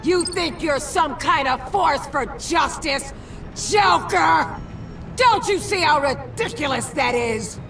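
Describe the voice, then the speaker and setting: A ruthless female agency chief in a video game, mid-pitched but swinging widely. She is cutting, sarcastic and used to command, speaking deliberately over clear music. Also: lively intonation, a bit bright, heavily acted. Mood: scornful. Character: hard-nosed, authoritative female agency boss, ruthless and scornful.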